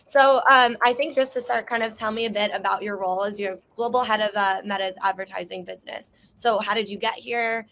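Young female interviewer, quick speech, faint background noise, video call. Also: medium pitch, fairly even intonation, fairly bright tone. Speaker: interviewer, news writer. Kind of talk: remote interview questions. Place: balcony, outdoors.

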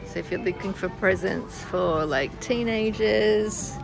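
A woman's voice: medium pitch, unhurried, creaky, over music, poor audio. An Australian woman chatting casually as she films a shopping vlog in a large store, often laughing. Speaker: vlogger. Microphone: handheld phone camera.

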